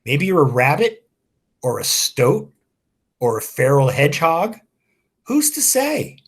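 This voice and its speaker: A man voicing a brisk British woman auditor who talks in a dry, teasing, condescending way in a read-aloud story. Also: on the high side, huge pitch swings, breathy edge, heavily acted. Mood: mocking.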